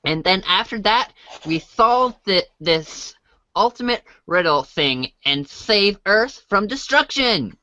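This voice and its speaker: Woman as a slow, bright, puzzled young boy. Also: medium pitch, expressive, dry recording, poor audio. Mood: earnest, unsure. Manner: hesitant, puzzled, earnest. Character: naive, puzzled young boy hero, good-natured. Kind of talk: amateur read-along storybook acting.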